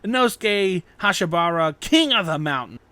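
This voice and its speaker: A brash young wild warrior, acted by a male narrator, loud and boastful. The voice is raised and cocky, in a dry audiobook recording. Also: sits high, very animated, normal speed, no echo, heavily acted. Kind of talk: fan fiction audiobook character voice.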